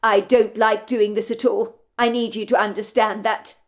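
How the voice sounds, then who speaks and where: A woman voicing a reluctant, apologetic male landlord in a read story, measured and regretful. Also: fairly high pitch, level tone, lightly acted.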